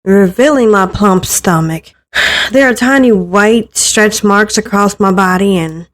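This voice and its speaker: A woman reading first-person scripted story narration aloud from a visual novel, in an expressive way. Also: medium pitch, unhurried.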